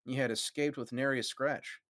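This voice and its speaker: A man's voice: mid-range voice, steady tone, a little fast, a bit bright, no room sound. Man narrating a story, dry close sound. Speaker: narrator of a group fan fiction reading. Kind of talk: story narration with amused asides to friends.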